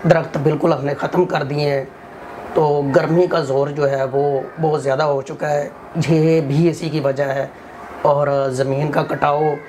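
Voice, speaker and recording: A man from Pakistan with a sore throat, answering an interviewer earnestly and making appeals, often filling pauses with set phrases. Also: on the high side, noisy background. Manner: earnest, earnestly appealing, with frequent "jo hai" fillers. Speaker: forest restoration activist, interview guest.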